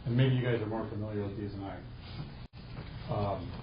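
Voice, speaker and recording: A man's voice: fairly low pitch, fairly even intonation, fairly quick. A man presenting a proposal at a city council meeting, rambling with many restarts and fillers. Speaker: bank representative presenting a proposal. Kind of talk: public comment at a council meeting. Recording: echoey, dull council chamber audio with hum.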